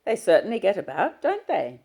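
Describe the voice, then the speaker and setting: A woman's voice: medium pitch, wildly swooping pitch, a little fast, mellow, husky, voice pushed up, full character voice. A woman reading aloud and voicing a kindly older lady employer, with a polite, motherly manner.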